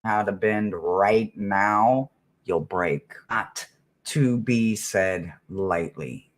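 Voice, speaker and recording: A man giving a spoken tarot reading to viewers, talking emphatically with dramatic pauses and often checking for agreement. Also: on the low side. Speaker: tarot reader.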